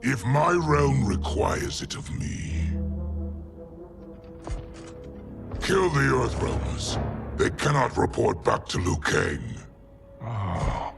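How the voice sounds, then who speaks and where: An actor playing a scheming, ambitious warlord in a video game, solemn, formal and self-righteous over dramatic music. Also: male voice, lowish voice, animated, slow.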